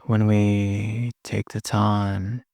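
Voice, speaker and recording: A man guides a meditation in a low voice with plenty of gravelly fry. His delivery is very slow and soothing, broken into short phrases with long pauses between them. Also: flat delivery, dark tone, no room sound, studio quality.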